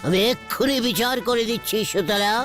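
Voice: mid-range voice, expressive, brisk, lightly acted. A performer voicing a blustering, cowardly, lovestruck cartoon uncle, stammering and exclaiming pompously in a comedy cartoon. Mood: indignant. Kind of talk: Bengali comedy cartoon voice acting. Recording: music under the voice.

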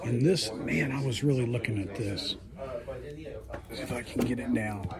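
A man hosts a casual phone-shot tour in a busy shop, with a medium-pitched voice. His commentary rambles along appreciatively while plenty of chatter fills the room around him. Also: unhurried. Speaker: host filming a shop tour. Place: inside a busy hobby shop. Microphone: handheld phone.

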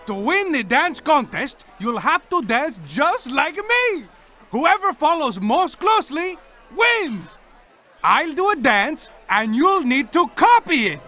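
Cartoon king, very high and lively, slow and bright, over faint music. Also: heavily acted. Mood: grand. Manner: booming, playful, theatrical. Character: jolly dancing cartoon king, grown man. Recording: game audio over music.

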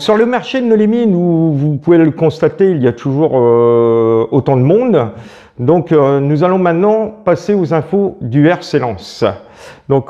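A man's voice: fairly high pitch, dull audio. Hesitant man presenting local news. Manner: hesitant, many fillers. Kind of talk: amateur local news and market interview.

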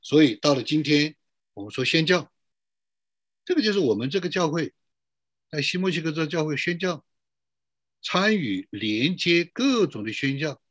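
Man, pastor, husky, lively rising and falling pitch, sermon, dry sound. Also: dry recording. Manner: rhetorical questions with drawn-out, emphatic phrases and frequent "ah" tags. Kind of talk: sermon for an online church service.

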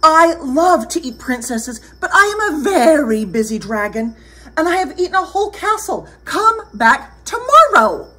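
Female reader as a pompous dragon, storybook character, high-pitched, stretched-out words, vain, busy. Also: big pitch swings, measured pace, sharp tone, heavily acted. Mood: dismissive, boastful. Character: boastful, busy male princess-eating dragon, easily flattered.

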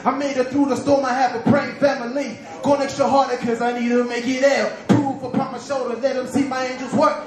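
High-voiced young man rapping fast. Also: little pitch movement. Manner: rapid, rhythmic, half-sung delivery. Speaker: rapper performing. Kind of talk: rap verses on stage. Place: large indoor store event with a crowd. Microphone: handheld mic. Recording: booming echo with loud music.

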